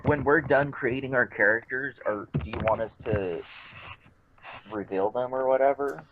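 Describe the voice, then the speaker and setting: A man's voice: mid-range voice, normal speed. A man chatting casually as a player in a tabletop game. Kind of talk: casual chat. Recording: dull lo-fi mic, faint noise.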